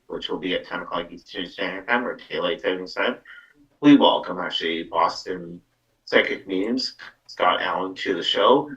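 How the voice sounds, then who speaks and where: A man's voice: low voice, bright, forceful tone. A man hosting a casual live psychic show, rambling along and padding sentences with filler words. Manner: rambling, repeats filler words like actually and though. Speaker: psychic medium podcast host. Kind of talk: casual live card-reading show talk. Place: live online stream. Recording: muffled, distant mic.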